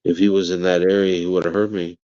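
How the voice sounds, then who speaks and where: A man with a very low, flat voice speaks earnestly, halting and restarting his sentences. The clip sounds dull and lo-fi. He is a father talking in an interview. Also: clean tone. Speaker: father of a missing child.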